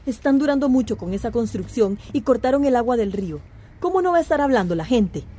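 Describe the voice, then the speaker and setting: An actress playing a self-serving, imperious and demanding small-town mayor in a radio drama. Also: female voice, on the high side, animated, fast talker, warm tone. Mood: reproachful. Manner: haughty, demanding.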